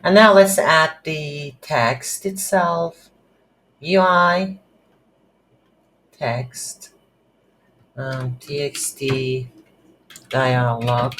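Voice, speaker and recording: A tutorial instructor thinking aloud in a halting, unscripted way while demonstrating game development on a computer. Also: medium pitch, a bit bright, poor audio. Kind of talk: unscripted screen-recorded software tutorial. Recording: background hiss, keyboard typing noise.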